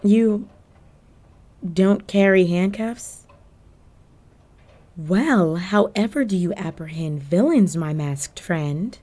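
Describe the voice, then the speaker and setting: A woman's voice: lowish voice, dark tone. A woman playing a flirty, cunning cat burglar villain in a roleplay audio drama, speaking coyly and teasingly to the listener. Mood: sly, teasing. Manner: coy, teasing, drawn-out phrasing with terms of endearment.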